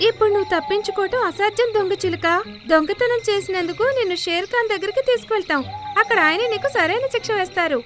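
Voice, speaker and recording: A woman's voice: very high voice, fast. A woman voicing a small, assertive female bird in a children's cartoon story. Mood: accusing. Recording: background music under the voice.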